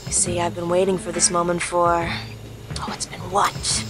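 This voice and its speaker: A woman's voice: on the low side, monotone, moderate pace, natural voice. A woman acting a bitter woman, music behind. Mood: simmering resentment. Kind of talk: radio play acting.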